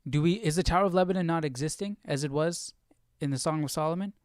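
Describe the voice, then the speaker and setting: A man's voice: a little high, quick pace, darkish tone, clean tone, dry recording, studio quality. A man hosting a live stream with casual, informal commentary, musing aloud and repeating phrases as he thinks. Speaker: host of a live Bible study stream.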